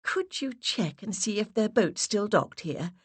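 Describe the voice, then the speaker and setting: A woman narrator voicing a giggly woman who puts on a high, ditzy, exaggerated English-accented act. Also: expressive, unhurried, husky, no room sound. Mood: gushing, coy. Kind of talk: audiobook character voice.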